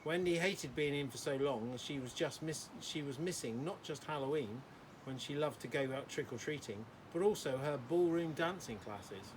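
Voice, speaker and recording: A man's voice: on the high side, moderate pace, noisy background, natural voice. Man reading as a kindly old hospital porter. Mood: reminiscing. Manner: courteous, addresses children formally.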